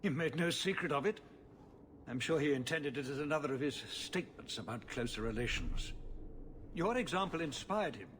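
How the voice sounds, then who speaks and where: A man's voice: fairly high pitch, lively intonation, moderate pace, fairly bright tone, a little hiss or hum. An actor playing a formal, anxious city ruler pleading for help with his son. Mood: worried. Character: worried middle-aged male city ruler and father.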